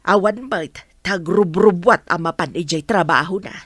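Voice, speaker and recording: A woman playing an inquisitive, gossipy young village woman in a radio drama, talking in an animated, questioning way. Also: fairly low pitch, moderate pace, husky, no room sound, clean studio sound. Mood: curious, excited.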